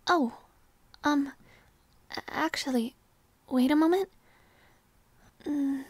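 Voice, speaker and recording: A woman voicing a proud young princess who teases, stammers when flustered and sometimes drops to a soft whisper, in an audio roleplay. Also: high-pitched, dry recording, full character voice. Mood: playful.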